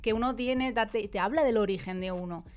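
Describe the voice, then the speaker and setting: A woman's voice: medium pitch, fairly quick. A young woman with a Madrid accent, speaking as a guest in a casual discussion, emphatic and full of restarts. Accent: Madrid Spanish. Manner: lively, emphatic, with frequent restarts.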